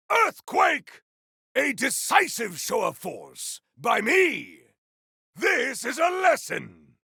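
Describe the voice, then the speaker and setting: A man voicing a grandiose, battle-hungry warrior in a video game, with a rough, gravelly voice and bombastic, theatrical delivery. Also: sits high, sharp tone, no room sound, clean studio sound, heavily acted. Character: grandiose, battle-hungry male warrior with fire and ice powers. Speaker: playable game character. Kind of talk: video game combat barks.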